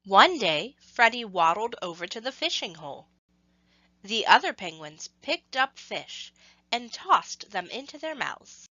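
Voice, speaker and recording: A woman reading a scripted story to children, with expressive, measured phrasing and emphasis on action words. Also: medium pitch, no echo, highs cut off.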